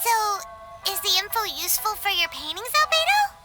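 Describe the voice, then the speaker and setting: A tiny, chirpy fairy girl from a video game, extremely high and squeaky. Her delivery is bouncy and animated, inquisitive and quick to exclaim, with clear music behind her. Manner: exclaiming, refers to self in third person.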